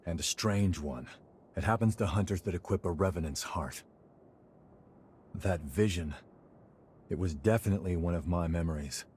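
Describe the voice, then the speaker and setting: Man acting a low-voiced, easygoing game hunter. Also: darkish tone, a little hiss or hum, natural voice. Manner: casual, wry, colloquial. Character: Noah, easygoing wry male raider-fighting hunter. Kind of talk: video game cutscene acting.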